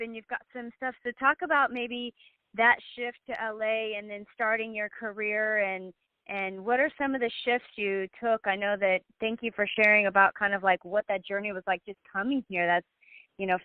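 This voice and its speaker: A woman's voice: mid-range voice, no room sound. A woman hosting a podcast, asking curious, encouraging, meandering questions. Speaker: podcast host. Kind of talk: interviewing a guest. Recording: dry tinny phone-like sound.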